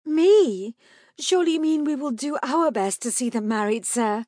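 Audiobook period mother, acted by a female narrator: high, fretful, plaintive, anxious and pleading. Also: animated, moderate pace, dark tone, dry recording, clean studio sound. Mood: alarmed, pleading. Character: anxious, pleading, tearful mother of five daughters.

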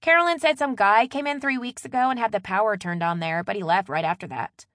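A woman voicing a bossy, exasperated younger sister in an audiobook, loud, emphatic and full of complaint. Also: mid-range voice, a little fast, dry recording, clean studio sound, pitched above the performer's own voice, close to an everyday voice. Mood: surprised, gossipy. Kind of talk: audiobook character dialogue.

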